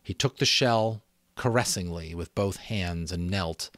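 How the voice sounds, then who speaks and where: A man hosting and narrating a book aloud, theatrical and expressive, with casual asides. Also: low voice, unhurried, dry recording, clean studio sound. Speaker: audiobook reader and host.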